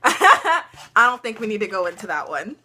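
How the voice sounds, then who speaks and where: A teenage girl chatting on camera at home, her voice bright and forward. She tells stories in a loose, chatty way, laughing often and filling gaps with ums. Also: medium pitch, sing-song, normal speed. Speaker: vlogger. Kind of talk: casual storytelling chat.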